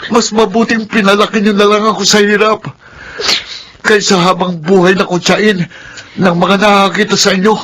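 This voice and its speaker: High-voiced, bitter son. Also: male voice, flat delivery, normal speed, a bit bright. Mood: bitter anger. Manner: accusing, emotional. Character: bitter, shamed grown son condemning his mother. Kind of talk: Filipino radio drama acting.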